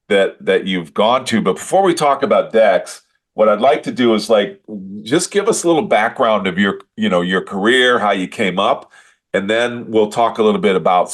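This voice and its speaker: A man hosting a podcast interview, asking rambling, hesitant questions full of fillers. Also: lowish voice. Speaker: podcast host.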